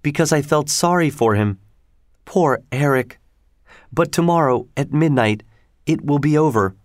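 A man's voice: a little high, lively intonation, unhurried, no echo, studio quality, voice pushed up. A man voicing a frightened, earnest young woman opera singer in a dramatic storybook reading. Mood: regretful.

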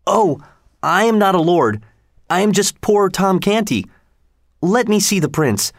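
A man's voice: high voice, very animated, measured pace, no echo, studio quality, pitched above the performer's own voice. A man reading aloud, voicing a frightened, humble poor boy who pleads anxiously. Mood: pleading.

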